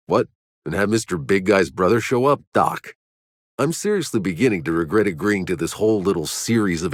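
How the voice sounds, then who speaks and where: A man playing a gruff, wry, battle-worn soldier in a sci-fi video game. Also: low voice, no room sound, studio quality. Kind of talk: video game voice lines, dramatic acting.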